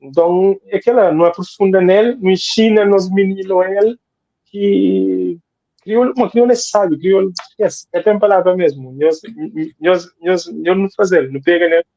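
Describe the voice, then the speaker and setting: Male guest author from Cape Verde, high-voiced, repeats himself, dull lo-fi sound. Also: moderate pace, gravelly, warm tone, no echo. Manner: rambling, repeats phrases for emphasis. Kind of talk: casual interview talk.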